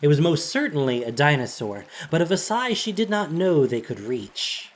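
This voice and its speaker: Husky narrator of a dramatic story. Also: mid-range voice, very animated, normal speed.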